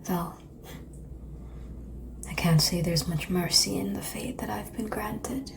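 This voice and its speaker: A woman playing a seductive, world-weary vampire in an ASMR roleplay, calm and teasing, with a breathy whisper and languid, formal phrasing. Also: medium pitch, slow pace, slight background noise. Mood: wry, musing.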